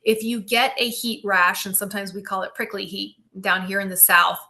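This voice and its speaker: A middle-aged woman physical therapist hosting a live Q&A stream, explaining anatomy with enthusiasm and often checking in with "right?". She projects loudly after taking off her microphone. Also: mid-range voice, little pitch movement, normal speed. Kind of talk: live-stream Q&A, casual teaching. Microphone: built-in device mic.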